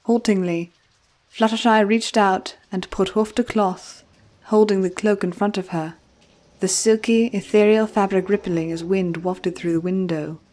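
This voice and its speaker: A woman's voice: mid-range voice, fairly even intonation, unhurried, darkish tone. A woman reading a scripted story as an audiobook narrator, in a dramatic storytelling style. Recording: faint music behind narration.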